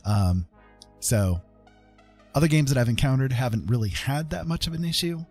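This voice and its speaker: A man's voice: medium pitch, animated, normal speed, a little mellow, faint music behind. A man giving casual live gameplay commentary as a streamer, chatty and thinking aloud. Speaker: game streamer, host.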